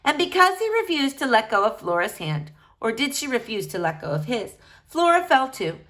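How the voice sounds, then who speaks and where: A woman narrating a children's book aloud in an expressive storytelling style. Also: a little high, moderate pace.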